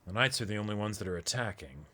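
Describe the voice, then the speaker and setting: Man, lowish voice, bright forceful tone, casual read-along co-host, reads dialogue with commentary. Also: expressive, quick pace.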